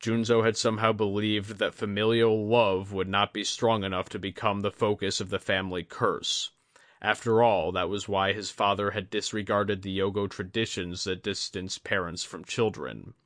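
A man reading scripted fantasy fiction as an audiobook narrator, in a measured, literary manner. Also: lowish voice, steady tone, a bit bright, no echo, clean studio sound.

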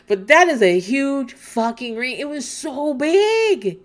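A woman's voice: sits high, sing-song, slow. A woman host chatting casually as she recaps a reality show, swearing freely and laughing often.

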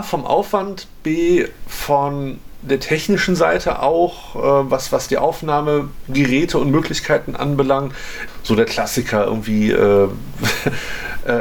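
A man's voice: mid-range voice, vocal fry. A man speaking casually in an interview, colloquial and thinking aloud in fragments as he explains. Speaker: radio maker and volunteer audio technician.

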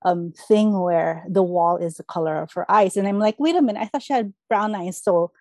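A woman's voice: mid-range voice, brisk, warm tone, no room sound, clean studio sound. A woman speaking as a podcast guest in casual chat, telling things by quoting her own thoughts.

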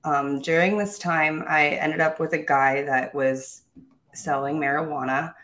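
A woman's voice: deep voice, fairly even intonation, a little slow, fairly bright tone. A young woman telling her own life story in an interview, upbeat and self-assured, with small laughs and restarts. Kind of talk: candid personal storytelling.

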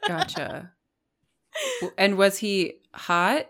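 A woman in her twenties co-hosts a casual podcast, firing off curious questions and quick reactions. Her voice swings widely and lively in pitch. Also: gravelly. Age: 20s. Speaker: podcast co-host.

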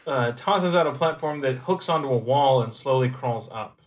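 A man co-hosting a podcast, chatting casually about a game with relaxed, dry asides. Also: mid-range voice, normal speed. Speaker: podcast co-host.

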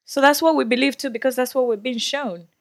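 A woman's voice: high voice, brisk, dry recording, studio quality. A woman from Tanzania, a guest on a casual podcast, giving blunt, emphatic opinions.

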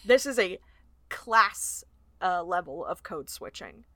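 A woman with a soft Southern US accent, co-hosting a casual book podcast, blunt and swearing freely. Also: lowish voice, animated, slow pace, no echo. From southern United States. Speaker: podcast co-host. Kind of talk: casual book-discussion chat.

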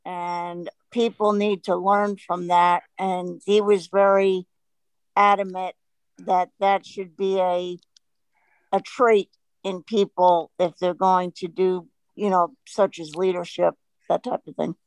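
A woman calling in to a talk show, friendly and halting. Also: lowish voice, level tone, clear, resonant tone, no room sound, poor audio. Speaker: caller. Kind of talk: casual call-in chat.

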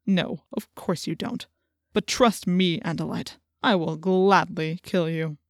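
A woman's voice: lowish voice, steady tone, takes it slowly, no echo, studio quality, pitched below the performer's own voice. Woman voicing a cruel, menacing alien-possessed man. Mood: cold menace. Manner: threatening, commanding, gritted-teeth delivery. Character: cruel alien slug controlling a male assistant principal, menacing.

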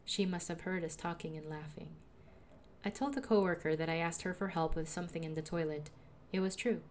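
A young woman with a soothing voice, calmly narrating a personal audio diary as a podcaster. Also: on the low side, a little fast, slight background noise. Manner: calm, reflective, confessional.

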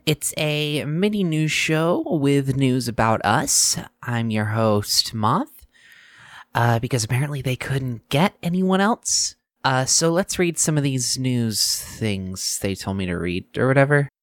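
An actress playing a sardonic, reluctant young student hosting a news show in an audio drama, rambling and exasperated. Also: female voice, rumbling low, animated, slow, dry recording, clean studio sound, heavily acted. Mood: bored, sarcastic. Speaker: podcast news host character.

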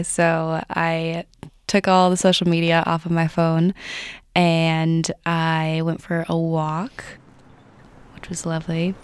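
Low-pitched woman telling a casual anecdote. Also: darkish tone, no room sound. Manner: unhurried, meandering, conversational. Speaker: storyteller.

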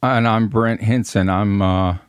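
A middle-aged man from Michigan answering casually as a podcast guest, in a halting, rambling way. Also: fairly low pitch, fairly even intonation, clean tone, no echo, studio quality. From Michigan, United States.

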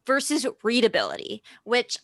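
A woman's voice: on the high side, huge pitch swings, normal speed, gravelly, dry recording, studio quality. A woman with a Chicago accent co-hosting a podcast, explaining design topics with enthusiasm. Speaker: podcast co-host. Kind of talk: casual podcast chat.

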